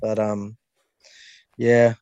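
A man, a podcast guest chatting in a relaxed way and often ending sentences with "eh?". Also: lowish voice, very slow, clear, resonant tone, dry recording, dull audio. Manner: relaxed and easygoing, ends sentences with "eh?". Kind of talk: casual chat.

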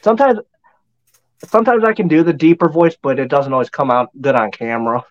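A man's voice: a little high, fairly quick. Slightly nasal man on dull audio. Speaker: guest, fan group admin. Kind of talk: casual chat.

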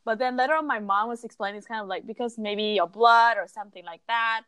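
A woman's voice: a little high, fast talker, a bit bright, clean tone, no echo. A woman and mother chatting casually, agreeable and chatty, with non-native grammar. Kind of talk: casual chat.

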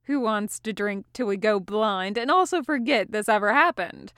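A woman's voice: mid-range voice, normal speed, a bit bright, clean tone, no room sound, studio quality, lightly acted. A woman voicing a wry, worldly male dwarf who gives patient, knowing advice in a fantasy story. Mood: rousing.

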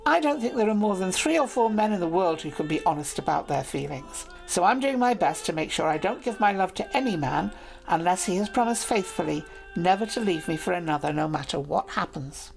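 A woman voicing a proud, clever young noble lady who speaks sweetly and persuasively, with soft music behind her. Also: fairly low pitch, lively intonation, a little fast, vocal fry. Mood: teasing, cautious.

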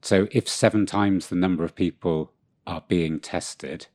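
A man's voice: low voice, fairly even intonation, unhurried, darkish tone. A man co-hosting a podcast in casual chat, fighting a cold, with dry self-deprecating humor. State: has a cold. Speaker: podcast co-host. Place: room with co-host far off.

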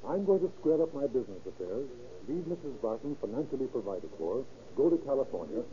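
Man playing urgent married businessman, very dark muffled voice. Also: medium pitch, sing-song, quick pace, lightly acted. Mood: resolute. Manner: insistent, urgent, earnest. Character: earnest, self-justifying married businessman in love. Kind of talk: 1930s radio acting. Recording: muffled, hissy old radio recording.

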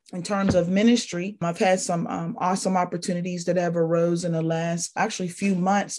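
A woman's voice: lowish voice, a little mellow. A woman from Pittsburgh, a podcast guest, talking casually and rambling with many fillers and repeated phrases. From Pittsburgh, Pennsylvania. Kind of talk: casual spoken testimony.

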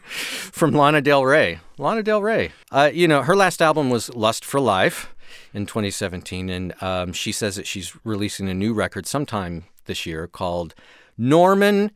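A man's voice: medium pitch, sing-song, normal speed, fairly bright tone, smooth, dry recording. A man co-hosting a music show, talking casually in an enthusiastic, earnest way.

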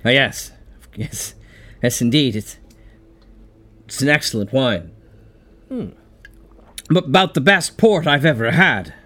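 Man playing a courteous, probing radio policeman, animated delivery. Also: mid-range voice. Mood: curious. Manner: polite, measured, inquisitive. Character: courteous, quietly probing male police officer. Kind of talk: old-time radio horror acting.

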